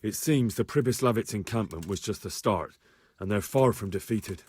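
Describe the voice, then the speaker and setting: An actor playing an earnest, respectful young peasant hero who goes undercover as a spy in a video game. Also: male voice, on the low side, normal speed, a little mellow, breathy edge, no room sound. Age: young adult.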